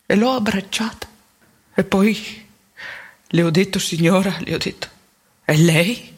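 Woman playing a husky former priest. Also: lowish voice, full character voice. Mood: tender, reflective. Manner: halting, emphatic repetition, colloquial. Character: ageing former priest, wounded, candid, plain-spoken man. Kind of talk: theatrical monologue, intimate confessional storytelling.

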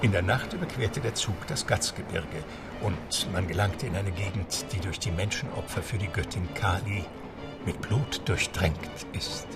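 A man's voice: low-pitched, measured pace, distant mic, loud music behind the voice. A man narrating a radio play in a literary storytelling style.

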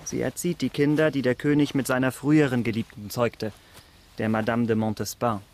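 An actor playing a courteous, guarded former soldier in a priest's role, speaking formally and with measured politeness in a German radio drama. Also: male voice, mid-range voice, level tone, darkish tone, natural voice. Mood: conversational. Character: courteous man posing as travelling priest, ex-cavalryman, guarded.

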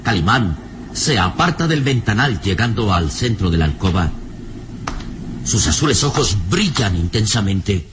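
An actor as the storyteller of an adventure radio serial, narrating in a theatrical, suspenseful, carefully enunciated way. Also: male voice, on the low side, very animated, a little slow, noisy background.

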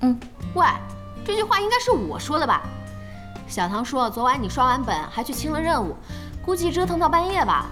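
A woman's voice: high-pitched, big pitch swings, a little fast, over music. An actress playing an earnest, supportive young woman who is a gaming partner in a Chinese audio drama. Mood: curious, encouraging.

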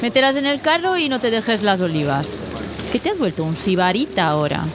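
A woman playing a bossy middle-aged wife from a comic audio drama, tart but soft on animals, with a Spanish accent from Spain. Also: medium pitch, sing-song, brisk, noisy background, lightly acted. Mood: nagging, exasperated. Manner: exasperated, bossy, sing-song.

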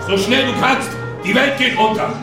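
An actor playing a panicked secret police boss in a radio drama, urgently barking orders. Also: male voice, very high voice, moderate pace. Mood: frantic. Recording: very echoey, distant, loud music.

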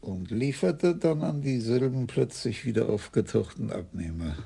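An old, courteous police commissioner in a radio crime drama. His voice is gravelly, husky and dark, and he speaks politely and with care. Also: medium pitch, lively intonation, moderate pace. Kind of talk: 1980s German radio drama acting.

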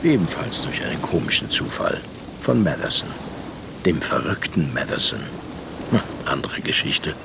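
Gravelly, reflective seafaring narrator. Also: male voice, extremely low pitch, big pitch swings, noisy background, exaggerated voice. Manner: measured, reflective. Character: reflective seafaring narrator, worldly, sceptical gentleman. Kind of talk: radio play narration and dialogue.

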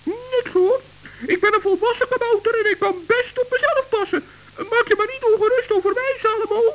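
A woman's voice: extremely high pitch, a little fast. A woman playing a small, anxious but plucky male forest gnome in a children's radio play, exclaiming and prone to stammer. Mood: earnest. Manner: exclaiming, stammering when nervous. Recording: dull, hissy old radio recording.

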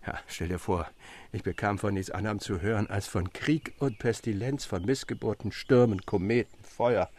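A man playing a cheerful recovering invalid who tells stories in an expressive, articulate, theatrical way for a literary radio drama. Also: on the low side, moderate pace, natural voice. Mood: mock complaint. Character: Theodor, cheerful man recovering from illness, enthusiastic storyteller. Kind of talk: literary radio reading with acted dialogue.

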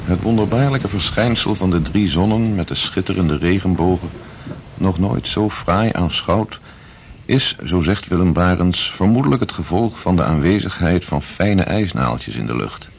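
An actor playing a grave chronicler, formally narrating a sea voyage log in a radio drama with a husky, gravelly voice. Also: male voice, sits low, lively intonation, moderate pace, slight background noise. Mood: measured. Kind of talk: scripted narration, formal historical prose.

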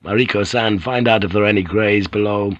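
A man's voice: fairly low pitch, a little fast, dry recording, dull audio, natural voice. A man voicing a rugged, blunt ship's pilot who gives terse, direct orders. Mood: low-key, firm.

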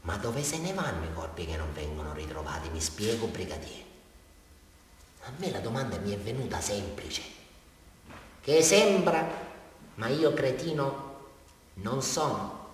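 A man's voice: lowish voice, very animated, measured pace, pauses often, slight background noise. An actor playing a rambling, unhinged man with a southern Italian accent confessing a crime in a theatrical radio monologue. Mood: quiet, eerie. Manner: rambling, repetitive, addressing a police officer, swinging from muttering to outbursts. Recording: very echoey hall, far from microphone.

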